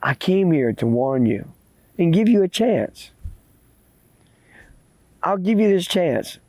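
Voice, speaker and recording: A man acts out an eyeless old spirit from a folk tale. He is menacing and taunting, with deliberate threats in a soft-edged, mellow tone and a close, dry sound. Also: sits high, very animated, dry recording, voice pushed up, full character voice. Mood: stern warning.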